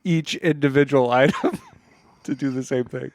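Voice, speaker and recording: A man's voice: mid-range voice, normal speed, no echo. A man in casual comic conversation as a podcast co-host, agreeably playing along with the bit. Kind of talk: casual comedic chat.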